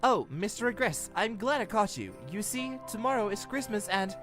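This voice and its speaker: A man's voice: high-pitched, lively intonation, normal speed, over music. A young man playing a kindly, eager, slightly awkward college student in a fan audio drama, earnest and friendly. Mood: hopeful, hesitant. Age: young adult.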